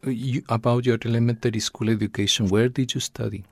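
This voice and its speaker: A male interviewer asking questions in English in a measured, encouraging way. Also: mid-range voice, steady tone, vocal fry, no room sound. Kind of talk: conversational interview.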